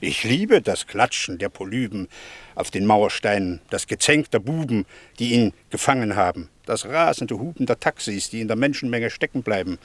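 A man's voice: mid-range voice, a bit bright, no echo. An actor playing an emphatic, opinionated middle-aged Greek townsman in a German radio play, speaking in eloquent, declamatory phrases. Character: opinionated Greek townsman, fond of his noisy city.

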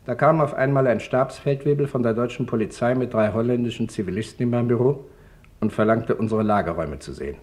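A man's voice: mid-range voice, fast, darkish tone, natural voice. An actor playing an office manager who gives a grave, orderly account of his wartime arrest in a radio drama. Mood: grave, restrained. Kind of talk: German radio drama witness monologue.

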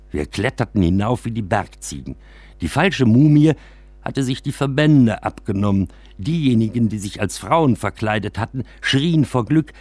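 A man narrates a first-person literary story for radio. His voice sits fairly low and has a husky grain. He tells it expressively, in a close, dry studio sound. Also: darkish tone, no room sound.

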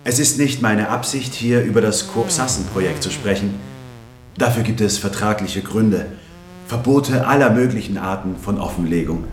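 An actor playing a secretive, wry corporate insider who addresses listeners directly in a radio drama monologue, recorded in a roomy space. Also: male voice, fairly low pitch, normal speed, close to an everyday voice. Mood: wry, confiding. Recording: roomy echo with faint background ambience.

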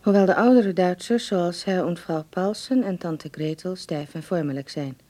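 A woman's voice: on the low side, moderate pace, dark tone, natural voice. A woman reading out a letter as narration in a radio drama. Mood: calm, reflective.

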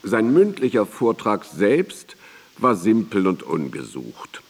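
Animated low-voiced admiring reminiscer. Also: male voice, slow, creaky, slightly rough, full character voice. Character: admiring male contemporary recalling his scholarly friend. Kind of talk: radio drama memoir recitation. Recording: reverberant studio, digitized cassette radio play.

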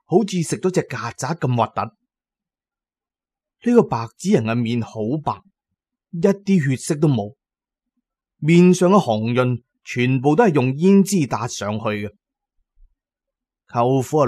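Casting: male Cantonese narrator for audio horror fiction, mid-pitched, expressive and colloquial, recorded dry. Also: dry recording. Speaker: storyteller, narrator. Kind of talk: dramatic scripted audiobook narration.

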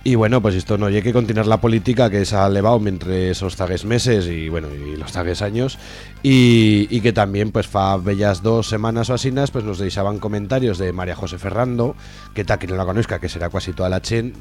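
A man with an Aragonese accent giving informal, sardonic political commentary as a radio contributor, halting often with repeated filler words. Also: on the low side, a little mellow.

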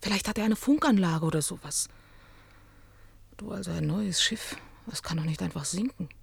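An actress playing a curious, outspoken woman adventurer in a German science fiction radio drama, with a direct manner and slightly husky voice. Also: lowish voice, normal speed, slight background noise. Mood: uneasy, urgent. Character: curious, outspoken female adventurer with biology training.

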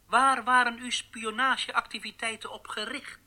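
A pompous state broadcaster in a radio play. His voice is extremely bright and cutting. He declaims like a solemn accuser, interrogating for the viewers. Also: very high voice, little pitch movement, moderate pace, exaggerated voice. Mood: accusing. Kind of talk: propaganda broadcast announcing in radio drama.

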